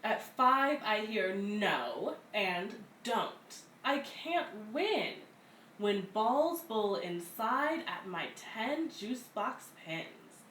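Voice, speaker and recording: A woman reads a picture book aloud for young children, heard from a distance in an echoey room. Her delivery is expressive and deliberate. There is faint noise behind her. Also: medium pitch. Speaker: read-aloud story reader at a community center. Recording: roomy, distant mic, faint background noise.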